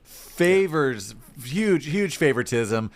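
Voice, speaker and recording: A man's voice: a little high, animated, slow pace. A man co-hosting a podcast, chatting casually and jokingly in a rambling, conversational way. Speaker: podcast co-host. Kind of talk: casual comic banter.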